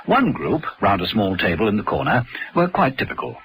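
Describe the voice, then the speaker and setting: British male radio narrator, lively intonation. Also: fairly low pitch, fairly quick. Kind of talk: scripted radio drama narration. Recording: vintage radio broadcast, music behind.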